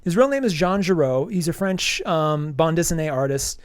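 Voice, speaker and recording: Guy with a stuffed-up nose, kinda high voice, rambly podcast review, dry close sound. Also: animated, normal speed, clean tone, no room sound. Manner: rambling, many ums and restarts. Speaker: podcast host reviewing comics. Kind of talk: casual review chat.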